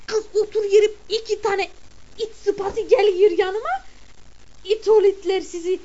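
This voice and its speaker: A grumbling, gossipy middle-aged village mother, played by a woman, chatters and scolds. Her voice sits extremely high and piercing. The comic monologue comes through a dull, lo-fi recording.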